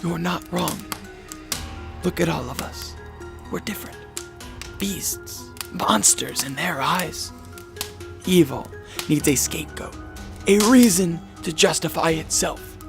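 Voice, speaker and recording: Eccentric doctor, gravelly, grave and fervent, theatrical radio drama lecturer, music underneath. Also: male voice, a little high. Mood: grave, ominous. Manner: theatrical, deliberate lecturing delivery.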